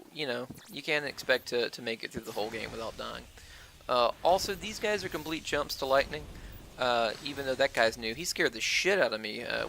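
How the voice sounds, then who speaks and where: Male gamer, bright forceful voice, casual commentary, profane, self-deprecating, rambling and halting. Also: mid-range voice, creaky, slight background noise. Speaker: gaming video host. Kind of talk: casual Let's Play commentary. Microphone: worn-out stand-by microphone. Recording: cheap mic with wonky audio.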